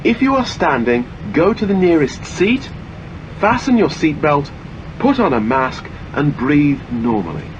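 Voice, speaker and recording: A man's voice: fairly high pitch, big pitch swings, unhurried. A man reading scripted airline cabin announcements in a formal, instructional manner. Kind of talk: scripted cabin safety announcement, read aloud. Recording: muffled lo-fi audio with engine-like cabin noise.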